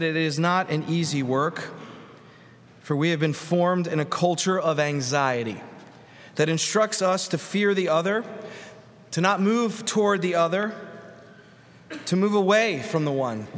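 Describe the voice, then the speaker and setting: A middle-aged man, a Presbyterian pastor, preaching a sermon in a church with earnest storytelling, pausing and repeating words. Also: sits high, a bit bright, slight background noise. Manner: earnest storytelling with pauses and repetitions. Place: church sanctuary. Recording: long hall reverb.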